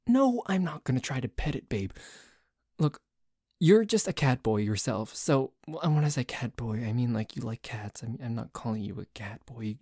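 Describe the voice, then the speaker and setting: A man playing a dorky, jealous tsundere boyfriend in an ASMR roleplay, bickering haltingly with a cocky streak. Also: medium pitch, animated, darkish tone, slightly rough, no room sound, studio quality. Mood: reluctant. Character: dorky, jealous, grumbling tsundere boyfriend who dislikes a cat.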